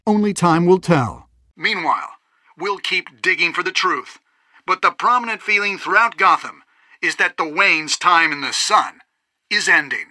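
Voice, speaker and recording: A polished, dramatic TV news anchor for a game. His voice is fairly high and delivers scripted reports crisply and gravely, with heavy emphasis, in a very dry studio recording. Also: animated, a little slow, sharp tone, dry recording, heavily acted. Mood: solemn, emphatic.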